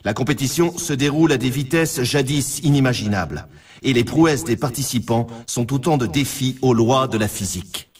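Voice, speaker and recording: A man's voice: medium pitch, moderate pace, husky, recorded from a distance, close to an everyday voice. A man playing a grandiose, emphatic announcer for an extreme snowboarding championship in a video game. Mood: grandiose, solemn. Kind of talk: scripted dramatic sports game announcing.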